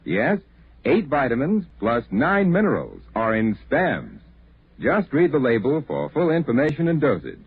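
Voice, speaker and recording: A man delivering an advertising pitch as a 1940s radio commercial announcer. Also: very animated, unhurried, breathy edge. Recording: tinny, indistinct vintage radio broadcast.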